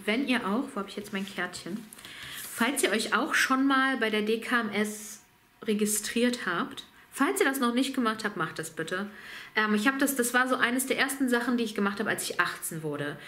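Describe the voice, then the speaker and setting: A woman's voice: medium pitch, a bit bright. A woman in her thirties with a slight cold, chatting frankly to camera in a home vlog, full of ums and asides. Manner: chatty, frank, self-deprecating with filler ums. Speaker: vlogger. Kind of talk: casual vlog chat. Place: home, small room indoors.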